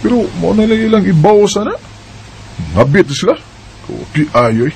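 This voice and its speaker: A man's voice: high-pitched, sing-song, moderate pace, gravelly, a little mellow, slight background noise, exaggerated voice, rough recording. A young man acting the lead in a homemade radio drama, playful and laughing when courting, earnest when troubled.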